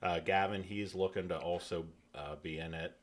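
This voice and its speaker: A man's voice: deep voice, steady tone, moderate pace. Friendly middle-aged man, rambling a bit. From Pennsylvania, USA. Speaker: guest, hotel owner. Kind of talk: casual interview talk. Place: podcast studio.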